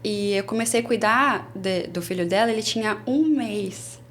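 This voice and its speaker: A Brazilian woman in her twenties, a former au pair, telling her story in a casual interview with lively restarts. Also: mid-range voice, moderate pace, a little hiss or hum. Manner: chatty, animated, many restarts.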